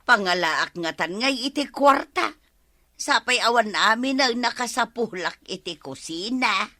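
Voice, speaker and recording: Actress as needy village neighbour, plaintive, bright and forceful, slightly slow, dry sound. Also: female voice, fairly high pitch, animated, no room sound. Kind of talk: comedy radio drama acting in Ilocano.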